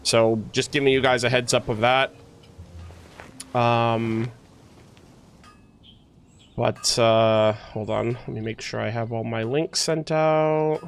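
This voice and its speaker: A man's voice: medium pitch, steady tone, takes it slowly, gravelly, long pauses, a little hiss or hum. A man streaming, chatting casually and rambling as he thinks aloud to his viewers. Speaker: livestreamer, host. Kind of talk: casual livestream chat. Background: music.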